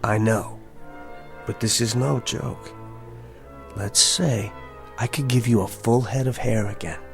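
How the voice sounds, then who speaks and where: A man's voice: medium pitch, steady tone, takes it slowly, creaky, faint music behind. An actor playing a cocky, sarcastic younger wise guy who talks in wisecracking street slang in a screen drama. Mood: scheming.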